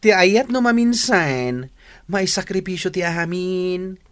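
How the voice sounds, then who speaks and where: A woman's voice: fairly low pitch, a little slow, lightly acted. Woman acting outspoken, emphatic Filipino girl friend. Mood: exasperated. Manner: exclamatory, emphatic scolding, code-switches into English. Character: outspoken, protective young friend of a lovelorn woman. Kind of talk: radio drama acting.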